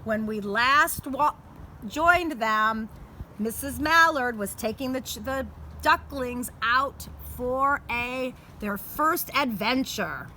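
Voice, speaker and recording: A woman reading a children's picture book aloud in a deliberate storytime manner, outdoors in a garden. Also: high voice, sharp tone. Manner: deliberate, showing pictures to listeners. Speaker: storytime reader. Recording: clear outdoor background noise.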